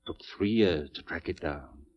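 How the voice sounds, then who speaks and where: Deep-voiced, obsessed surgeon, old radio horror. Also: male voice, a little slow. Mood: focused. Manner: commanding, intense, precise diction. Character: obsessed, driven male surgeon reviving his dead wife. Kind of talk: 1940s radio horror acting. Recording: dull, muffled old radio recording.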